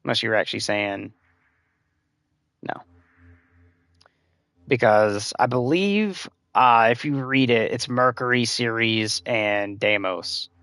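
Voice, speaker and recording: A man giving casual gameplay tips on a live stream, his voice a little hoarse and scratchy, talking in loose rambling runs full of "like" and "uh". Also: mid-range voice, slow pace, long pauses, a bit bright, no room sound. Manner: rambling, filler-heavy advice-giving. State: slightly hoarse, losing voice. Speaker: game streamer.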